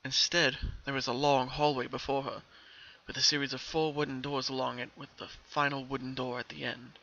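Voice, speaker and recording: A man's voice: medium pitch, little pitch movement, brisk, pushed and bright, highs cut off. A man reading a scripted story aloud as its narrator, in a dramatic storytelling style.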